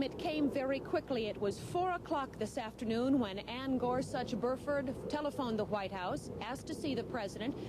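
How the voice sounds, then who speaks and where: A woman reporting live as a television news correspondent, over a slightly noisy feed. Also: fairly high pitch.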